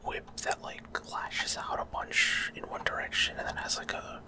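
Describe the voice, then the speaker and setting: A man speaks entirely in a breathy whisper, close to the microphone. He rambles casually through gameplay commentary for an ASMR video, often unsure of the details and feeling his way through each explanation. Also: measured pace. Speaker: gaming ASMR video host.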